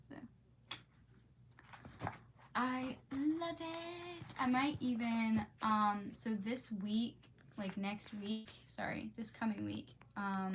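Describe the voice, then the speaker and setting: A woman streamer who reads storybooks aloud and chats casually with viewers in an informal, gushing, rambling way. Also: on the high side. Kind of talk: storybook read-aloud and casual livestream chat. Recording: clearly noisy background.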